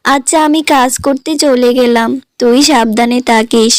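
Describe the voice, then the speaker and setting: A woman's voice: a little high, steady tone, normal speed, clean tone, dry recording, close to an everyday voice. A woman voicing a poor, frail old grandmother who scolds and worries over her granddaughter in a cartoon. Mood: tender worried. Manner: scolding, weary, protective. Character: poor frail old grandmother, loving, bitter at son-in-law. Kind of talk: cartoon voice acting. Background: music.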